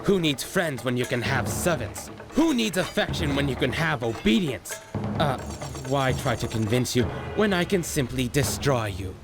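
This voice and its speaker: A man's voice: mid-range voice, very animated, normal speed. A man voicing an arrogant, power-hungry animal warlord in a video game, pompous, menacing and theatrical in his delivery. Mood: smug menace. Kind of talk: video game villain acting. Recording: music behind the voice.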